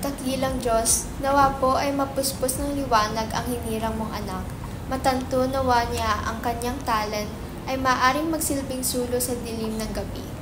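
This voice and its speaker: A devout religious leader acted out by a woman with a high voice, solemn and formal. The delivery exhorts and prays in a staged reading. The room is echoey, with clear background noise. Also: fairly even intonation, normal speed, natural voice. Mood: prayerful. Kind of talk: dramatic reading of a stage script.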